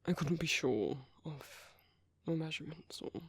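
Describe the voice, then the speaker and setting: A British man playing a gentle, courteous vampire priest in an ASMR roleplay, speaking softly and breathily with polite, hesitant reassurance. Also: a little high, measured pace, dry recording. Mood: reassuring. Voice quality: soft, breathy, husky. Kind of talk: ASMR roleplay, soft intimate whispery speech.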